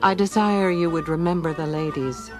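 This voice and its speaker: Lady playing genteel colonial wife reading her letter, low voice, earnest, music under it. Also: normal speed, natural voice. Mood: earnest, gently firm. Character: poised, earnest 18th-century American wife writing a letter. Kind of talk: dramatized historical letter reading.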